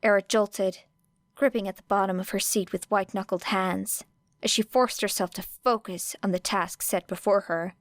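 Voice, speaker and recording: A woman reading a fiction audiobook as narrator, with expressive scripted delivery. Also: medium pitch, normal speed, dry recording, studio quality.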